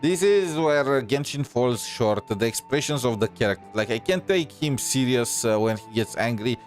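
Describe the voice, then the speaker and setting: A man giving casual live-stream commentary on a game, halting as he thinks aloud. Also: medium pitch, lively intonation. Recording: stream with faint game music.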